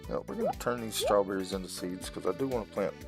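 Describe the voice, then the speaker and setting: A woman giving casual let's-play commentary as a gaming channel host, thinking aloud in an unhurried way. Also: a bass voice, vocal fry. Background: game music and sound effects.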